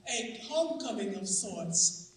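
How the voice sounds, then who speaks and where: A woman presenter delivering a formal, ceremonial introduction in a measured, solemn, oratorical manner. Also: medium pitch, expressive, slight background noise. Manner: measured, solemn, deliberate phrasing. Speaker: presenter introducing a readers theatre program. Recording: echoey room, distant mic.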